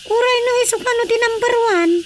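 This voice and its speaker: Younger villager, chatty, frank, high voice, comedic radio drama acting. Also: female voice, steady tone, fast, slight background noise. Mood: questioning, frank. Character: chairman's chatty, frank younger female relative or aide.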